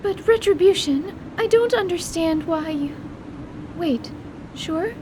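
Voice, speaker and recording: A woman acts out an earnest young lady surgeon in a fantasy radio play. Her voice is pitched very high, with formal, eager, precise phrasing. A clear rumble of ambience surrounds her. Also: slow. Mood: surprised.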